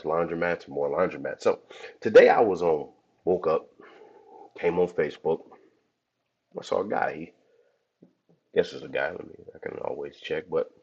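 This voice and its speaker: A man with a very deep, husky voice, hoarse from a cold, talks through his podcast in a loose, chatty way. He calls his listeners y'all. The audio sounds dull and lo-fi. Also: darkish tone. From Cleveland, Ohio. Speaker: laundromat podcast host. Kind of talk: casual podcast talk.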